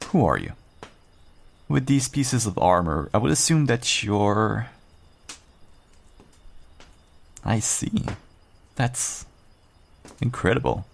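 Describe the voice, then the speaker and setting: A man playing a calm, protective werewolf rogue in a fantasy roleplay audio drama, speaking in an earnest, measured way. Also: medium pitch, creaky, heavily acted. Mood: calm, friendly.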